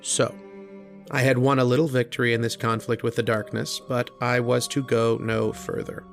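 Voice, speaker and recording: Man acting a creaky-voiced, earnest storyteller. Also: medium pitch, level tone, normal speed, faint music behind, close to an everyday voice. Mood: somber. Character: earnest, kindly lodger, a struggling male writer, narrating. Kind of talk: dramatic first-person old-time radio horror narration.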